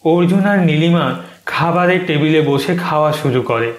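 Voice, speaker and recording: A man's voice: on the high side, moderate pace. A man narrating a scripted horror story in a dramatic, suspenseful way. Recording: roomy echo. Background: soft music.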